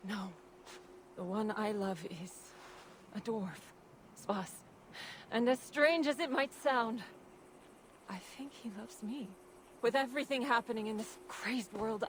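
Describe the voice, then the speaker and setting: A woman's voice: fairly high pitch, a little hiss or hum, close to an everyday voice. An actress playing an earnest, determined female giant in love, in a fantasy video game cutscene.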